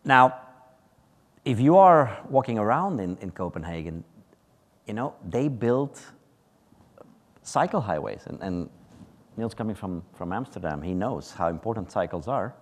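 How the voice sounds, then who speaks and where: A man's voice: lowish voice, animated, a little slow, long pauses. A man speaking as a panelist in a conversational way, with hesitant fillers. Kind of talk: panel discussion. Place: large conference hall. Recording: reverberant hall.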